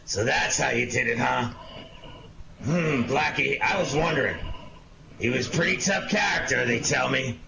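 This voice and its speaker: A man playing a gruff, inquisitive police detective, blunt and slangy, in a 1940s-style radio drama. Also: medium pitch, unhurried. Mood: intrigued. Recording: muffled lo-fi audio with clear noise.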